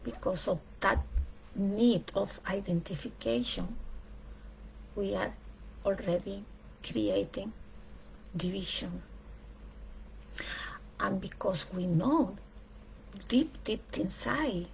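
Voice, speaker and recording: Female speaker, for a reflective spiritual talk; unhurried delivery in short weighted phrases, gravelly creak in the voice. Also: medium pitch, slight background noise, rough recording. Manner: deliberate, emphatic phrasing in short pieces with pauses.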